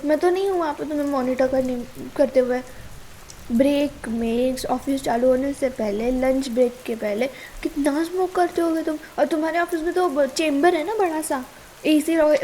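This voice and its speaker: High-voiced Indian girlfriend, scolding but caring, acted by a woman. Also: darkish tone, slight background noise. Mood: scolding, frustrated. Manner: pleading and chiding, switching between Hindi and English. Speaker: ASMR roleplay creator. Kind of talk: intimate ASMR girlfriend roleplay.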